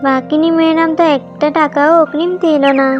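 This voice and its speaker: A woman voicing a poor, gentle working mother with a pleading manner in a children's cartoon. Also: sits high, fairly even intonation, brisk, natural voice. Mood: humble, pleading. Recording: dull lo-fi audio, music behind. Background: background music.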